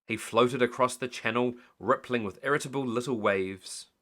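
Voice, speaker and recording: A man reading a children's bedtime story aloud in an animated storytelling style. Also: mid-range voice, normal speed.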